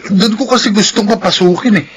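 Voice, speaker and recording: A man's voice: high voice, brisk, a bit bright, breathy edge, full character voice. A man acting a stubborn, lovelorn young suitor, insistent and grumbling, in a radio drama with faint ambience behind.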